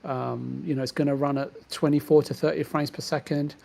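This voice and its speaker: A man's voice: a little high, steady tone, a little fast, gravelly, darkish tone. A man chatting casually about games in a hesitant, roundabout way. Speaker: gamer on a podcast.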